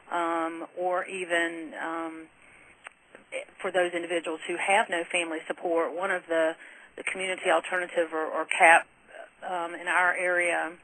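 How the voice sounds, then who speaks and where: A man phones in to a conference call to ask a formal question. His voice is fairly high and bright, with a flat, even melody. He is polite and measured, hesitating as he frames his point. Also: poor audio. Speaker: caller asking a question. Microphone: telephone conference line.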